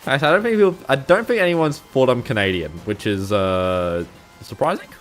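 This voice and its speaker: A man's voice: medium pitch, lively intonation, a little fast, soft background music. A young man with an Australian accent, rambling casually to his livestream viewers with frequent chuckles and fillers. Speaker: streamer.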